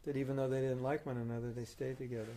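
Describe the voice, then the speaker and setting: A man's voice: medium pitch, level tone, rapid-fire, background noise. A man leading a question and answer session as a spiritual teacher, arguing his point firmly and patiently. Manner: firm, reasoning, argumentative. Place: meeting room.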